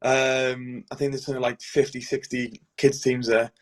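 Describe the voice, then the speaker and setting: Young Welsh man, flat delivery. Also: medium pitch, moderate pace. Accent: Welsh, Cardiff. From Wales. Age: 20s. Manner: relaxed, many "um"s and "and stuff". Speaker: guest goalkeeper. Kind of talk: casual interview answers.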